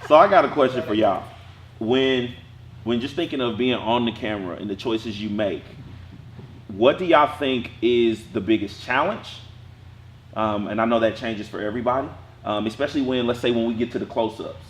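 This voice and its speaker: A man teaching an acting class, talking casually in a lively way with a strong voice and acting out bits of his stories. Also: medium pitch, moderate pace, echoey room, slight background noise.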